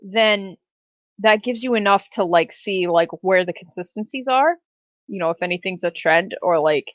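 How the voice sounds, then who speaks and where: A woman's voice: medium pitch, moderate pace, no echo. A woman role-playing at a tabletop game in casual, playful table talk, on a dull recording.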